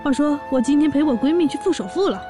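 An excitable young orc wife acted by a woman speaks in a high voice, full of admiration, half narrating and half chatting. Music plays clearly behind this fantasy audio drama. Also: fast talker. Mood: chatty, coaxing.

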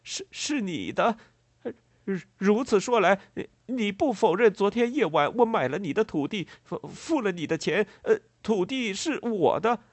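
A man's voice: high-pitched, animated, normal speed, no room sound, voice pushed up. A man voicing a frantic, greedy, angry farmer in a storybook, stammering and blustering as he repeats his words. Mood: flustered, stammering.

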